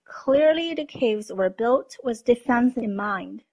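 A woman presenting scripted documentary narration in a measured, explanatory manner. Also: a little high, animated, a little mellow.

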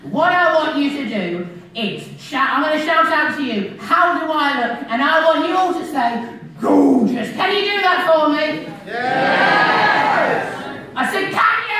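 A man with an English accent playing a cheeky, bawdy middle-aged pantomime dame on a live stage, bantering with the audience and leading call-and-response. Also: extremely high pitch. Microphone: filmed by a phone from the audience. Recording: echoey hall recorded from far off with crowd.

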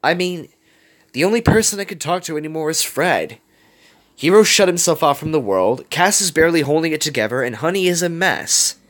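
A man acting an earnest, animated young man. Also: mid-range voice, fairly quick, voice pushed up. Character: earnest, concerned young man, calm but easily exasperated.

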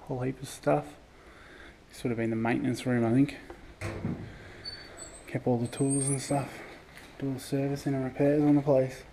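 A man's voice: medium pitch, little pitch movement, normal speed, creaky, pauses often, warm tone. A man giving casual running commentary as he explores an abandoned building, remarking on everything he finds. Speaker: urban explorer vlogger. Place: abandoned indoor campus building. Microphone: head-mounted action camera. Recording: noisy handheld camera audio.

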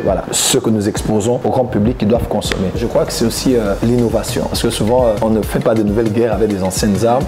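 A man in his thirties from Congo, a preacher answering interview questions in a studio, smiling and speaking thoughtfully with frequent pauses. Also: on the low side. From Democratic Republic of the Congo. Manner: smiling, gesturing with both hands, thoughtful pauses with many "euh". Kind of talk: relaxed interview answers to camera. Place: plain grey studio backdrop, indoors. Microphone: lapel mic. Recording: background music under the voice.

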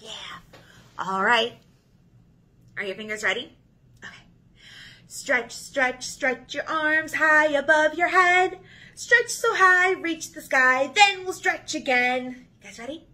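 A woman's voice: high voice, slow, long pauses, pushed and bright. A woman in her thirties, a children's librarian reading aloud and leading rhymes in an encouraging, sing-song way. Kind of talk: children's storytime read-aloud and action rhyme. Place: small indoor room.

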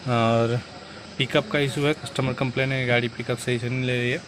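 A man's voice: medium pitch, flat delivery, brisk, background noise. A young man, a car mechanic, explains a repair step by step while he works on an engine, filmed close on a phone. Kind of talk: hands-on repair tutorial. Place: inside a car and at the open engine bay, outdoors.

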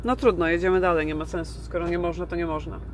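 A woman's voice: on the low side, little pitch movement, fast, music in the background. A woman chatting casually as a travel vlogger, rambling along in colloquial speech. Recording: loud vehicle and outdoor noise.

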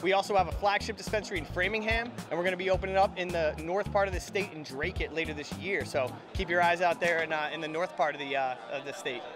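An energetic man speaks quickly and fluently, pitching products like a practiced salesman in an interview. His voice sits high, picked up on a handheld mic with music in the background. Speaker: cannabis company representative, interviewee. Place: indoor convention hall booth. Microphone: handheld microphone held by interviewer.

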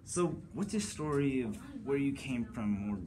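Slow, hesitant young male interviewer. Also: mid-range voice, expressive, a crowd in the background. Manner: hesitant, many "um" and "uh". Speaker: student interviewer. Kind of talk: interview questions. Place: school conference room.

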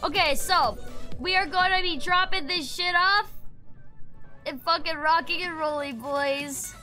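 Sick, excitable streamer woman, high bright voice. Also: measured pace. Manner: excitable, swears, shrieks when startled. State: sick, losing her voice. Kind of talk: casual gameplay streaming chat. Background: faint game music.

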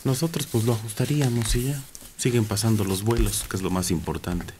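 Man, lower voice, wry chatty panelist, radio studio, audible background noise. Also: a little fast. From Mexico. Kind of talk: casual studio chat. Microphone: desk microphone.

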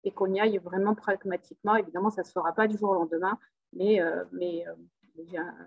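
A woman's voice: on the low side, steady tone, quick pace, slightly rough, no echo, lo-fi sound. Middle-aged woman, southern French accent, off-the-cuff webinar remarks on a video call; lots of "euh" and restarts, earnest; dry close sound. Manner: many hesitations and repeated words, earnest and upbeat. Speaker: rail company executive speaking at a webinar. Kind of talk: spontaneous webinar speech.

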